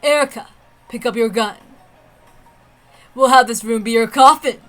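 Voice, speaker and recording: A proud witch, played by a woman, with a fairly high voice and a composed, taunting manner. Her lines are formal and self-assured, in visual novel drama style, with faint music behind. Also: moderate pace, fairly bright tone, lightly acted. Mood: commanding.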